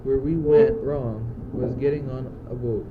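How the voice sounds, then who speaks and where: A man's voice: mid-range voice, fairly even intonation, measured pace, natural voice. Teen guy acting philosophical doomed courtier, earnest amateur radio play, dull hissy sound. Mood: resigned. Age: teens. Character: anguished, philosophical young courtier facing death. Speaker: student actor. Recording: muffled, noisy old amateur recording.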